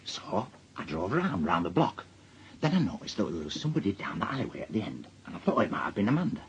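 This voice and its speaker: Slightly muffled radio drama audio with faint noise carries a worried Northern English husband. His pitch swings very widely as he hesitates, pleads and defends himself. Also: big pitch swings, quick pace. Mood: subdued, recounting. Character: anxious married man, a defensive reluctant murder witness. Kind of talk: naturalistic radio drama dialogue.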